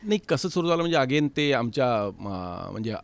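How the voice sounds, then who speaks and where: A man's voice: mid-range voice, very animated, normal speed, creaky. A middle-aged man telling stories conversationally as an interview guest, repeating words and slipping into Hindi and English. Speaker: interview guest, tabla player and festival organiser. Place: recording studio.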